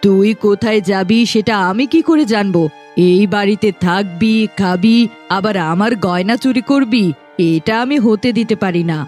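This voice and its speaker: Woman as a cruel scolding stepmother, mid-pitched. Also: normal speed, a little mellow, soft background music, lightly acted. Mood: angry scolding. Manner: scolding, commanding. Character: cruel, accusing middle-aged stepmother, harsh and unforgiving. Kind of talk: cartoon fairy-tale acting.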